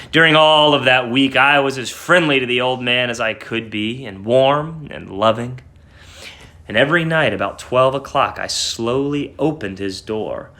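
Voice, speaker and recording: An agitated madman confesses and insists on his sanity in a gothic short story read out by a man. The voice is medium-pitched, bright and tense. The delivery is theatrical and intense, moving between hushes and swells. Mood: chilling, hushed.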